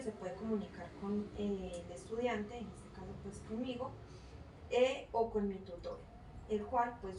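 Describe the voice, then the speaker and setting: A woman, a psychology student moderating a focus group and reading a consent form, hesitant with fillers and self-corrections. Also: mid-range voice. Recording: echoey room, far mic, noisy.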